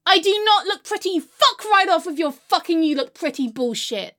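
A woman acts out a melodramatic, unreasonable woman in a comedy monologue. Her voice sits extremely high and leaps around in pitch. She swears freely and delivers everything histrionically, veering between sulky mutters and wounded wails. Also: expressive, normal speed, sharp tone, full character voice. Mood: tearful, indignant. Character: melodramatic, irrational, foul-mouthed woman outraged by her haircut. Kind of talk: comedic monologue to an unheard friend.

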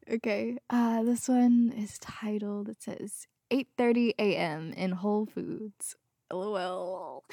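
A young woman softly whispering as she reads posts aloud, giggling and getting teary between them. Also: medium pitch, dry recording, clean studio sound. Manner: soft whispering, giggly, tearful asides. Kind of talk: whispered reading of posts, ASMR.

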